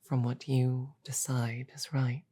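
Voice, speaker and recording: A woman acts out a demon queen for an audio drama. She speaks very slowly in a dark, velvety tone, weighing each phrase with pauses. Her manner is regal and grave, wryly amused, and often hushed toward a whisper. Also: a bass voice, little pitch movement, husky, heavily acted. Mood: hushed, wistful. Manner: deliberate, pausing, often dropping to a whisper. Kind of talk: scripted audio roleplay, slow dramatic delivery.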